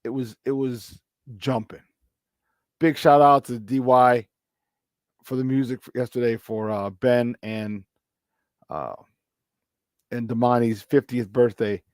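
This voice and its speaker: A man hosting a casual morning sports talk show, his voice a little hoarse, repeating words for emphasis and laughing often. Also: medium pitch, little pitch movement, slow pace, long pauses, a little mellow, no echo, clean studio sound. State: slightly hoarse. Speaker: sports talk radio host.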